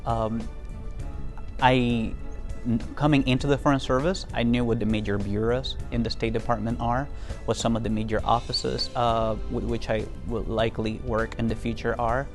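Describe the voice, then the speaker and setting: A man's voice: medium pitch, flat delivery, moderate pace, soft background music. A young man from Venezuela, speaking earnestly in an interview testimonial about his work as a Foreign Service officer, with frequent fillers and restarts.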